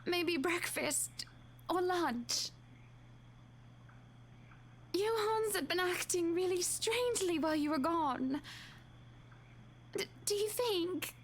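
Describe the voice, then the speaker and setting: A woman's voice: very high voice, animated, takes it slowly, pauses often, a little hiss or hum, exaggerated voice. A woman voicing a spoiled, wealthy young wife in a visual novel game, imperious and theatrical. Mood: uneasy, suspicious.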